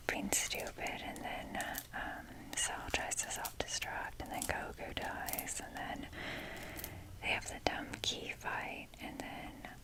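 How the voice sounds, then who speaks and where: A woman whispering in a breathy voice as she rambles casually and wryly in an informal, fannish ASMR style. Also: poor audio. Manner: informal, fannish, wry, mildly sweary. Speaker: ASMR video creator showing a toy collection.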